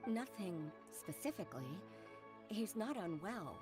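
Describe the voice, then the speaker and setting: An actress playing a worried, dutiful young daughter in a video game, earnest and polite. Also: female voice, medium pitch, very animated, measured pace, music in the background. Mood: troubled, pensive.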